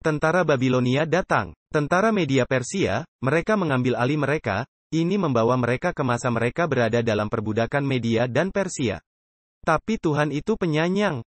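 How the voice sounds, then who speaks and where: A man's voice: mid-range voice, animated, brisk, no echo. A man preaching a dubbed sermon earnestly, with emphatic rhetorical questions put to his listeners.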